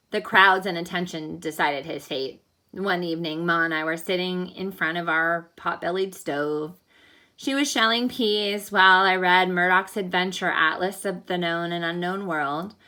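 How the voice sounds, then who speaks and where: A woman reads a picture book to children with a bright, forceful voice that sits a little low. She is expressive and animated, like a teacher guiding young listeners through a story. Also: vocal fry. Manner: animated, pausing to ask listeners questions. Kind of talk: expressive storybook read-aloud with think-aloud questions.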